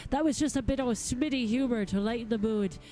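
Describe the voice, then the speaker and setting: A woman voicing a cranky, foul-mouthed male blacksmith in a fantasy game, gruff and rustic, grumbling along. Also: a little high, a little fast, warm tone, lightly acted. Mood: eager. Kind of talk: reading fantasy game dialogue aloud.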